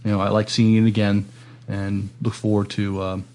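A man's voice: on the low side, level tone, normal speed, darkish tone. A man chatting casually as a co-host on a podcast.

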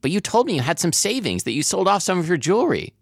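A man's voice: a little high, big pitch swings, a little fast, fairly bright tone, no room sound, studio quality, pitched above the performer's own voice, exaggerated voice. A man voicing a distraught, indignant young adult daughter who scolds and pleads with her mother in a dramatic novel reading.

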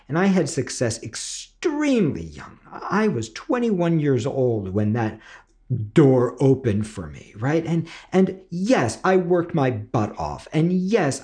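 A man's voice: a little high, warm tone. A man hosting and teaching in a motivational podcast style, speaking emphatically with repetition and frequent rhetorical check-ins. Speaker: screenwriting teacher and podcast host.

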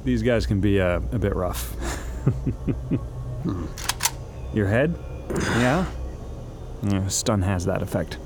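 A condescending company man in a sci-fi audio drama. He speaks slowly and casually, with hesitations and a falsely friendly manner. Clear background noise rumbles behind him. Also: animated. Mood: breezy, condescending. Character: smooth-mannered, falsely friendly male corporate liaison. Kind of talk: science fiction radio play acting.

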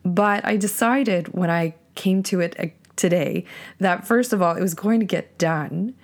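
A woman hosting a podcast solo, speaking earnestly and deliberately in a reflective monologue, her voice lowered by a cold. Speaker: podcast host.

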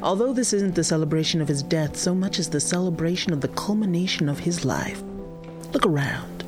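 A woman's voice: fairly low pitch, lively intonation, a little fast, over music, close to an everyday voice. A woman playing an elderly, hospitable widow in an audio drama, folksy, gracious and plainspoken, proud and tender. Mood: warm, proud. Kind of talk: audio drama character acting.

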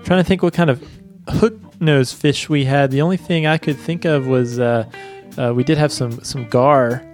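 A man's voice: medium pitch, fairly even intonation, moderate pace, a little mellow, soft background music. A man co-hosting a casual science podcast, talking in an easygoing way and chuckling often. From grew up fishing rivers. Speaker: podcast co-host. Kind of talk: casual chat.

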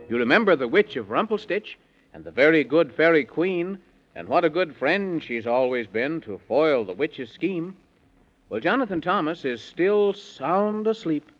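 An actor playing an excitable storyteller who tells a children's bedtime tale in theatrical singsong rhyme on 1930s radio. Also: male voice, fairly high pitch, lightly acted. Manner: theatrical, singsong rhyming delivery. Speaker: rhyming narrator. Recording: muffled old 1930s radio, music behind.

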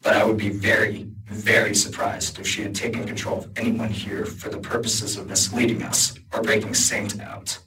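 A man reading a guarded, formal male armored hero in an audiobook, speaking in a measured way. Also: lowish voice, flat delivery, pushed and bright, distant mic, faint music behind, rough recording. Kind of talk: audiobook character voice.

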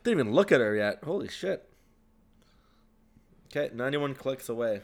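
A man's voice: mid-range voice, very animated, moderate pace, darkish tone. A man chatting crudely with friends, hesitant and distracted, often restarting his sentences. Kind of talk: casual chat with friends.

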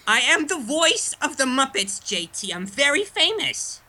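A performer voicing a childish, self-important male Muppet fan being interviewed, talking in a deadpan way and repeating the host's name. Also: high-pitched, unhurried, full character voice. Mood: deadpan smug. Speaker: interview guest.

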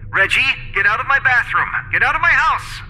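A man's voice: very high-pitched, moderate pace, pushed and bright, in a large hall, pitched above the performer's own voice, heavily acted, poor audio. A man voicing a frightened but firm young woman pleading and protesting in an audio horror story. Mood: exasperated. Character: terrified, pleading young woman, firmly resisting.

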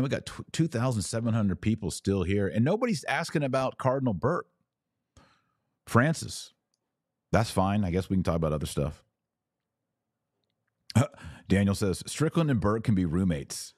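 A man with a very low, relaxed voice speaks on a close, dry microphone. He hosts an informal livestream, giving earnest advice. He urges listeners along and poses questions to answer himself. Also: normal speed, pauses often, no echo. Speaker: podcast host answering live viewers.

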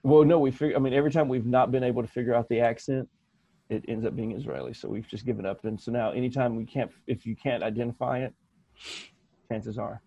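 An American man co-hosting a podcast in casual chat, halting with many restarts and fillers. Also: mid-range voice, steady tone, creaky, dark tone, slightly rough, no room sound, highs cut off. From United States. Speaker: podcast co-host.

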